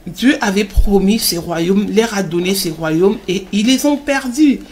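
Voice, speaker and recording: A woman's voice: medium pitch, a little slow, darkish tone, a little hiss or hum, close to an everyday voice. A woman playing a devout mother in a religious children's cartoon, teaching in an encouraging way and repeating phrases for emphasis. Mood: earnest, explaining.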